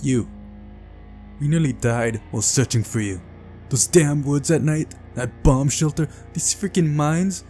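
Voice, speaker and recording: A man's voice: on the high side, measured pace, a little mellow, soft background music, pitched above the performer's own voice, close to an everyday voice. A man voicing a fiery teenage girl in a visual novel, blunt, exasperated and scolding. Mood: angry scolding.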